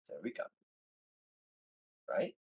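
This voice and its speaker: A man's voice: slow, dry recording. A man playing an online tabletop game, chatting casually and muttering to himself as he works.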